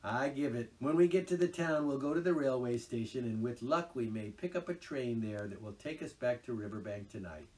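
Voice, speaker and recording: A man's voice: medium pitch, animated, darkish tone, distant mic, pitched above the performer's own voice, close to an everyday voice, low-quality recording. A man doing a stern, exasperated water rat. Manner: firm and brisk. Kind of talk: storybook reading aloud.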